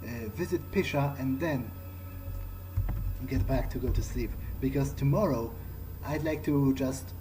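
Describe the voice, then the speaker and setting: A man role-playing through a video game, thinking aloud in character in a low-key, hesitant way. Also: unhurried, noisy background. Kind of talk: in-character musing during gameplay. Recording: music behind the voice.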